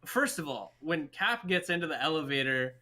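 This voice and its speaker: Bright, higher-pitched man chatting emphatically. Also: expressive, moderate pace. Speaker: podcast co-host. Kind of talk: casual movie discussion chat.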